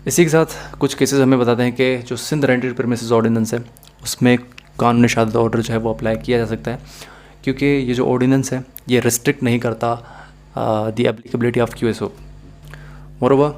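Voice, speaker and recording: A man's voice: medium pitch, darkish tone, slight background noise. A man with a Pakistani accent giving a methodical, explanatory video lecture as a law teacher, mixing in English phrases.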